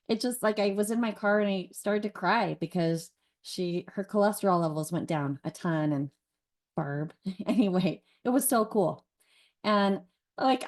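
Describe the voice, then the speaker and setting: A woman's voice: lowish voice, a little mellow. A woman in her forties giving a chatty, gushing testimonial over a video call, laughing between thoughts. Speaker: partner and guest on a call. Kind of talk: casual testimonial. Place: car.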